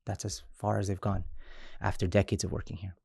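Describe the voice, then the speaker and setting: Gravelly low-voiced man, halting speech. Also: flat delivery, mellow, no room sound, clean studio sound. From Chiapas, Mexico. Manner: halting, many restarts and "right?" tags. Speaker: immigrant rights organizer. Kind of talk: interview conversation.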